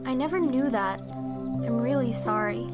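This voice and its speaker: A teenage girl playing a friendly, concerned high school student in an amateur audio drama, in casual dialogue. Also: unhurried, over loud music, close to an everyday voice. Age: teens.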